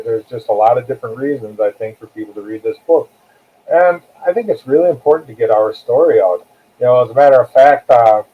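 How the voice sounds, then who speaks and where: A man's voice: mid-range voice, slight background noise. A man speaking as an interview guest and author, conversational, plain-spoken and earnest. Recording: muffled, phone-like narrow sound.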